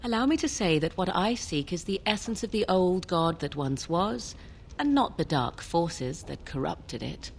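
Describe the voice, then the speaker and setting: An actress playing a mysterious, guarded young sorceress in a fantasy game, speaking in a cool, formal, archaic manner. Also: female voice, fairly low pitch, lively intonation, measured pace, vocal fry, slight background noise. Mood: firm. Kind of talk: fantasy video game dialogue.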